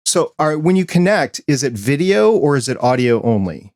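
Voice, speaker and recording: A man's voice: fairly high pitch, big pitch swings, brisk, darkish tone, no echo, studio quality. A man hosting a podcast, chatting casually and asking his guest open questions. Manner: conversational, asks open questions. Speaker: podcast host. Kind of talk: casual interview chat.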